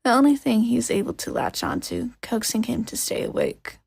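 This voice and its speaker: Expressive woman narrator with creaky, husky voice. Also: on the high side, fairly quick, no room sound. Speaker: podfic narrator. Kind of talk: expressive scripted fiction narration.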